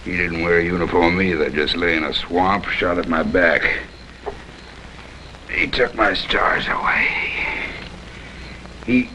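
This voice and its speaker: An actor playing a bitter, delusional, crippled army colonel in an old TV western, with a gravelly, creaky voice and a slow, haughty, brooding delivery. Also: male voice, low-pitched, pushed and bright, full character voice. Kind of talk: 1950s TV western acting. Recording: noisy old TV soundtrack, rain-like hiss.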